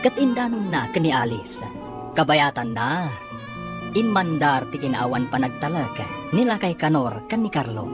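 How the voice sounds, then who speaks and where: A woman's voice: low-pitched, very animated, moderate pace. A woman narrating and announcing a radio drama serial in a dramatic, scripted style. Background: prominent music.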